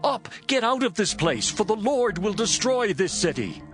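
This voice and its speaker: A man's voice: high-pitched, moderate pace. A man playing Lot, a humble and anxious man pleading, in a dramatized Bible reading. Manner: pleading, lively intonation. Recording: voice over clear music.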